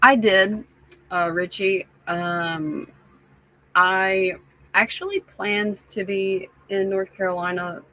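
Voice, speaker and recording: A woman live streamer chatting casually with her viewers, sounding stuffy and raspy, rambling with lots of fillers. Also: mid-range voice, steady tone, pushed and bright, rough recording. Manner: slow, rambling, many fillers.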